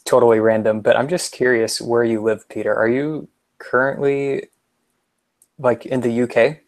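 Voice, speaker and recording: A man's voice: mid-range voice, little pitch movement, moderate pace. A man from the United States on a group video call, curious and friendly, asking lots of questions and saying "like" often. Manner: curious, asks lots of questions, uses "like" a lot. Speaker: call participant. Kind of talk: casual online group chat.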